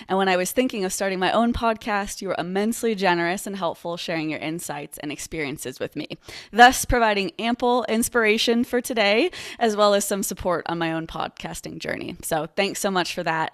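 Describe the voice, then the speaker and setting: A woman hosting a podcast interview, with measured, gracious introductions and questions. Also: lowish voice, no room sound, studio quality. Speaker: podcast host.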